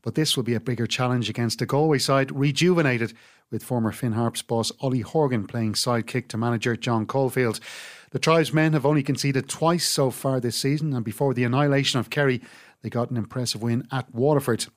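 Male Irish sports podcast host, mid-pitched, delivering scripted match round-up narration. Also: a little fast.

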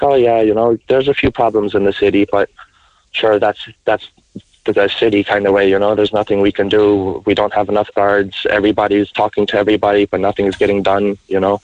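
A young man in his twenties, rambling and thick with fillers as he calls in. His voice sits fairly low, and the line sounds dull and close, with no room sound at all. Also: no room sound. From mixed, raised largely in the United States. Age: 20s. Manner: rambling, heavy with fillers like "you know" and "like". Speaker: caller. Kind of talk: radio phone-in call. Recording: muffled phone-in line.

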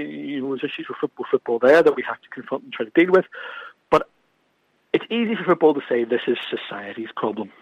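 A man's voice: medium pitch, breathy edge, no echo. A man speaking as a guest on a radio talk show, passionate and emphatic, often repeating words as he presses his point. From from across the water from Scotland. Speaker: guest from an organisation. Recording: phone-like dry radio line.

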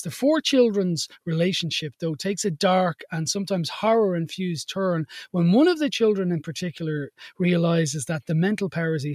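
A man's voice: a little high, dry recording. A man hosting a radio film show, interviewing guests and presenting in an enthusiastic, chatty way from a studio. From Ireland. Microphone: studio mic.

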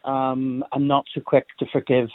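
A man calling a radio phone-in, giving his opinion in a measured, reflective way. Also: medium pitch, monotone, no echo. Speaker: caller. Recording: phone line.

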